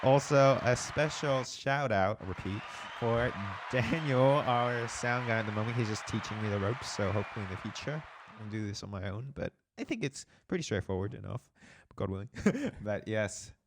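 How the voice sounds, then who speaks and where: A young man, co-hosting a casual podcast chat, playful and quick to laugh. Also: mid-range voice, animated, noisy background. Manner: laughs often, playful and upbeat. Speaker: podcast co-host.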